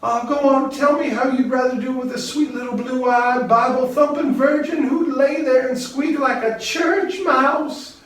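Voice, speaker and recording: A man voicing a loud, bawdy young frontier woman with a sassy, drawling backcountry way of talking. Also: high-pitched, steady tone, a little slow, hardly any pauses, pitched above the performer's own voice. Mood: taunting. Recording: roomy echo, distant mic, faint guitar music.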